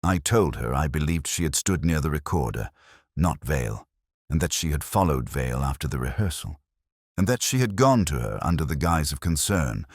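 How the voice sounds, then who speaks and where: Male narrator for detective fiction: extremely low register, expressive intonation, solemn and measured, dry close sound. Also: no echo. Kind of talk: scripted first-person detective story narration.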